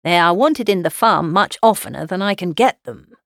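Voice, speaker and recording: Lady doing a wry middle-aged dad from an old novel, dry and measured, gentlemanly, no room echo. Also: lowish voice, animated, creaky. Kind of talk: dramatised classic novel reading.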